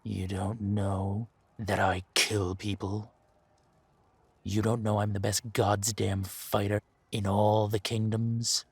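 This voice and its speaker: A man playing a ruthless, mocking warrior villain in a fantasy audio drama, with a husky voice and a deliberate, taunting delivery. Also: lowish voice, flat delivery, dry recording, exaggerated voice. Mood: contemptuous.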